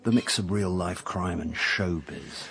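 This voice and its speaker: An actor playing a wry middle-aged actor turned amateur sleuth, narrating a radio drama in a dry, sardonic way. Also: male voice, deep voice, a little slow, fairly bright tone. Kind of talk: radio drama, first-person narration and dialogue.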